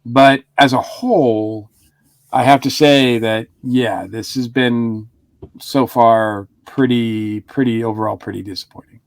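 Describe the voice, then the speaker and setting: A man in an easygoing podcast chat, circling his point through restarts and small laughs. His voice sits at a middle pitch and sounds close and dry, with no room around it. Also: steady tone, measured pace, dry recording. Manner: rambling, with frequent restarts and chuckles. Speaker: podcast co-host. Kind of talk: casual review chat.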